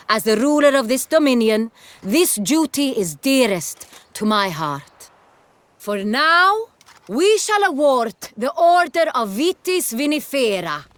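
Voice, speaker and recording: An actress playing a regal duchess in a video game, speaking in a formal, ceremonious manner. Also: female voice, high-pitched, fairly bright tone, no echo, full character voice. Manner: formal, pompous, stately. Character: regal, ceremonious female duchess ruling a realm.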